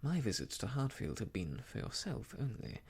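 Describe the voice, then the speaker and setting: A man acting an effusive, husky, self-important gentleman suitor. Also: mid-range voice, sing-song, normal speed, full character voice. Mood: affronted, haughty. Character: ardent, vain, affronted young gentleman suitor. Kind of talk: audiobook character dialogue from a classic novel.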